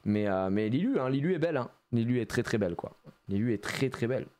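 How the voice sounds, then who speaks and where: A man's voice: medium pitch, fast talker. A man chatting casually on a live stream as he opens trading card packs, joking around and laughing often. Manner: animated and jokey, laughs often, plays on words.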